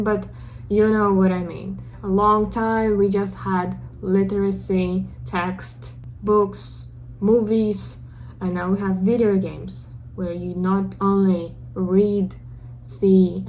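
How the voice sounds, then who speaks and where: A woman's voice: medium pitch, a little mellow, a little hiss or hum, low-quality recording. A young woman with a Russian accent, Russian her first language, talking earnestly and a bit awkwardly straight to her camera in a casual video diary. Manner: self-conscious, earnest, a little awkward. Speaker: vlogger. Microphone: camera mic.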